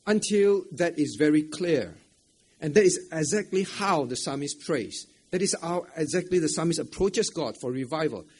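A man's voice: fairly high pitch, lively intonation, moderate pace, a little mellow, slight background noise. A man preaching an interactive sermon at a church camp, asking the audience questions, repeating phrases and often adding "right?". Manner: chuckles often between points. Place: church camp meeting room.

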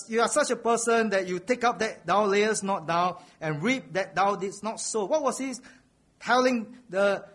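A man's voice: high voice, fairly bright tone. A man preaching a sermon, earnest, repeating a refrain and building to raised emphasis.

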